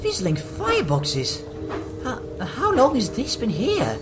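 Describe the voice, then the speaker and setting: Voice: mid-range voice, wildly swooping pitch, slow, music in the background, heavily acted. A performer voicing an eager, fussy male tank engine in a children's audio drama, with a hesitant, earnest manner. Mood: nervous wonder.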